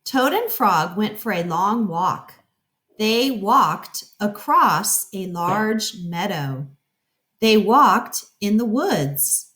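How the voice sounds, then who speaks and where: Animated woman reading a children's story slowly. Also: mid-range voice. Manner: expressive, explanatory, addressing children.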